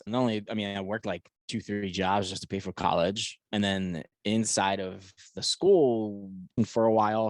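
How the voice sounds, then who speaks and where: Low-voiced man with a Philadelphia accent. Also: no echo. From Poland. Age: 40s. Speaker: podcast guest, e-commerce entrepreneur. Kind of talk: casual chat.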